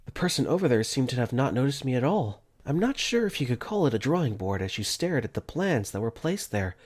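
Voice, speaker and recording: A man's voice: mid-range voice, big pitch swings, a little fast, darkish tone. A man narrating a visual novel fan dub in first person, earnest and easily flustered.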